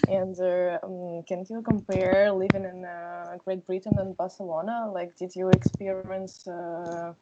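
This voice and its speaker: A woman hosting a podcast interview speaks slowly and haltingly, filling pauses with "uh". Her voice sits on the lower side. The recording is dull and lo-fi, with clear noise underneath. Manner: halting, frequent "uh" fillers.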